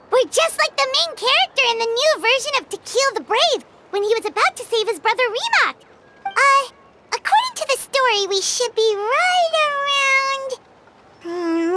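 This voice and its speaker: A woman voicing a tiny, excitable female companion creature who chatters in a chirpy way in a video game. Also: very high voice, a little slow, background noise, exaggerated voice. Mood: excited. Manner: chirpy, refers to herself in third person. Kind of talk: game voice acting.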